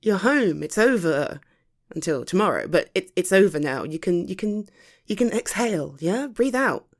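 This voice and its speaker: A woman's voice: fairly low pitch, lively intonation, moderate pace. A woman with a British accent playing a caring, tired girlfriend home from the office, talking in a soothing, chatty, hesitant way in an intimate ASMR roleplay. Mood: soothing, reassuring.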